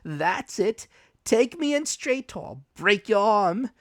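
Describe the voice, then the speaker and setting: A man voicing a sinister blind beggar pirate from a storybook, wheedling and cruel in a drawn-out sing-song. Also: high voice, sing-song, no echo, studio quality, pitched above the performer's own voice, full character voice. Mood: menacing. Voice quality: soft-spoken.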